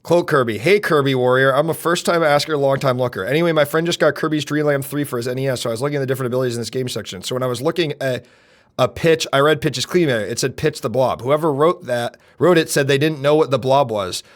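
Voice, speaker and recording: A young man with a deep voice, streaming and chatting casually, loud and brash, swinging from shouts to mutters. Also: fast. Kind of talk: casual live-stream chat.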